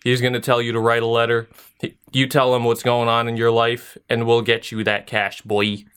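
A young man on a casual podcast, chatting loosely and cracking jokes as he tells a long story. His voice sits at an ordinary middle pitch for a man. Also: completely flat delivery, moderate pace, fairly bright tone, smooth. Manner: loose, joking storyteller who laughs often. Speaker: podcast co-host.

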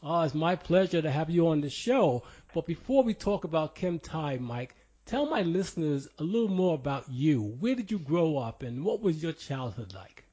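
A man hosts an interview podcast, reading scripted introductions and asking easy, friendly questions. His voice is mellow and dark in tone. The recording sounds dull and lo-fi. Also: on the high side, very animated, moderate pace, slightly rough. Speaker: podcast host. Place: New York. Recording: dull lo-fi audio.

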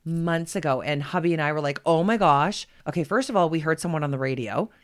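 A woman's voice: sits low, fairly quick. A woman in her forties co-hosting a podcast, bantering casually with a friend. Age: 40s. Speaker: podcast co-host.

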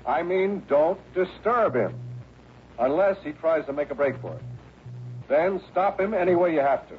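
An American man playing a brisk, gruff police lieutenant who gives clipped orders in a 1960s radio drama. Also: a little high, expressive, close to an everyday voice. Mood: commanding. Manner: authoritative, emphatic, clipped orders. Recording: thin, narrow, noisy old radio broadcast.

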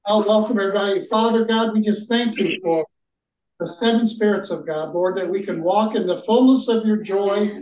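A man's voice: sits high, moderate pace, a little mellow. A man leading a prayer and introducing a guest as host of a ministry gathering, fervent and prayerful. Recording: echoey, muffled, distant mic.

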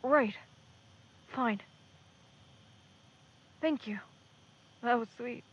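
A woman's voice: fairly high pitch, very slow, slightly rough, dull audio, heavily acted. A woman playing an earnest, hesitant young male pre-med student in a naturalistic audio drama. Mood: sheepish, confiding.

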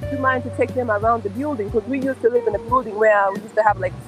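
A woman's voice: a little high, steady tone, quick pace, over music, poor audio. A Tanzanian woman with a Swahili-tinged accent, a podcast guest telling stories with frequent restarts. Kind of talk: casual podcast interview.

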